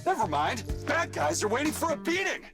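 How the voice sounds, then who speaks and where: Goofy, swooping robot gunslinger. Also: male voice, very high voice, wildly swooping pitch, brisk, heavily acted. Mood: flustered, then eager. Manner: showy, theatrical, exclamatory. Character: goofy, flamboyant male robot gunslinger hero. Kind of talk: English game voice acting. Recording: game trailer audio under loud music.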